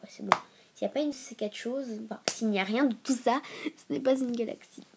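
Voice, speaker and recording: A woman's voice: fairly high pitch, a little fast, creaky, background noise. A woman with a stuffy nose from a cold hosting a casual educational video, reading aloud and breaking into informal asides as she stumbles over long words. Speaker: video host.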